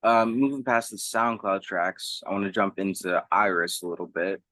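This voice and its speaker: A man's voice: deep voice, steady tone, normal speed, bright, forceful tone, no room sound. A man conducting a music interview in an informal, enthusiastic way, swearing casually as he goes.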